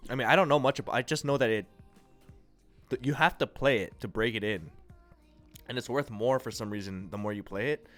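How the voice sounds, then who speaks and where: A congested, nasal-sounding man from the United States hosting a livestream, chatting casually and rambling through viewers' comments with a relaxed, self-deprecating manner. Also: medium pitch, fairly quick, pauses often, soft background music. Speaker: livestream host. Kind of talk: casual chat reading and answering viewer chat.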